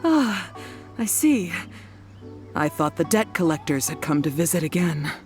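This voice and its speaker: Low-voiced, weary, troubled wife. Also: female voice, sing-song, darkish tone, soft background music. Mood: anxious. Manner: polite, plaintive, measured. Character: weary, apologetic wife of a missing husband. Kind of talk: anime video game voice acting.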